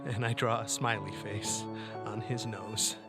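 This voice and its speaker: A man improvising as a player on a tabletop game podcast, stammering and halting as he narrates and speaks in character. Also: fairly low pitch, steady tone, loud music behind the voice.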